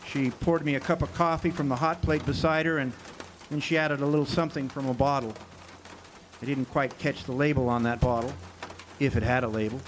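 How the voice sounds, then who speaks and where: An actor playing a world-weary drifter, narrating a hardboiled radio drama in a wry, hesitant way. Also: male voice, mid-range voice, steady tone, fairly quick, close to an everyday voice. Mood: wry, reflective. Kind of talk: noir-style radio drama narration and dialogue. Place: outdoor amphitheater stage. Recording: noisy outdoor live recording with birdsong.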